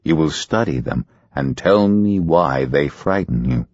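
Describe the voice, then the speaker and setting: A man playing a slow, commanding hypnotist. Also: rumbling low, animated, darkish tone, no room sound, dull audio, exaggerated voice. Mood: soothing. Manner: soothing, slow, insistent commands. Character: calm, commanding male hypnotist.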